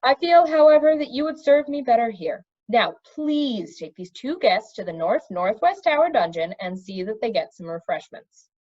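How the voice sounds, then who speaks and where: A woman's voice: a little high, sing-song, normal speed, few pauses, darkish tone, highs cut off. A woman voicing a harried, courteous king in a storybook, speaking in a formal, emphatic way. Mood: polite, firm. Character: courteous, harried young king, commanding yet resigned.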